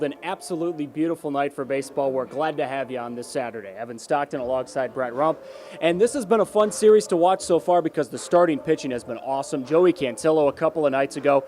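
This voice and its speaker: An American man calling baseball play-by-play as a broadcaster, animated and given to shouting on big plays. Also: a little high, brisk, a little hiss or hum. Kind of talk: live sports play-by-play commentary.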